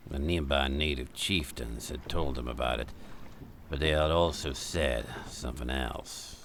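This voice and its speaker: An actor playing an older man who grimly warns against prying into a dark secret, talking slowly and ominously in a radio drama. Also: a little hiss or hum, full character voice. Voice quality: very deep, gravelly. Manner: slow, ominous, warning. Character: grim old man guarding a dark secret.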